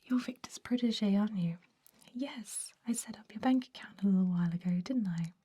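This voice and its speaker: A woman voicing a chatty, gushing female vampire office worker in a breathy, whispered ASMR roleplay, full of casual filler words. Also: mid-range voice, a little fast, warm tone, slight background noise, natural voice. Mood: brisk, curious.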